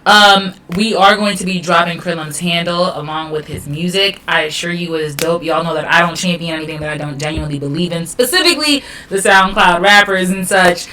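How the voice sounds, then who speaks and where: A woman's voice: fairly low pitch, fairly quick, few pauses, bright, forceful tone, slight background noise, poor audio. A woman hosting a podcast interview in a chatty, encouraging, slangy way. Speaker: podcast host.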